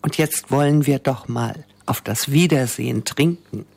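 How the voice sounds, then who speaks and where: A man's voice: medium pitch, a little slow, gravelly, a little mellow. A man voicing a cheerful grown eldest son with a hearty greeting.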